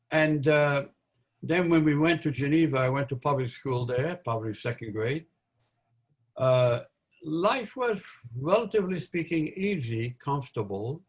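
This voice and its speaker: An older man in his eighties, born in Belgium, tells his memories to an interviewer. He speaks at a middle pitch, pausing often with fillers and restarting his sentences as he searches for the words. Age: 80s. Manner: halting, many fillers and restarts. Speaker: interview guest, Holocaust survivor. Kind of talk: recounting memories in a live interview.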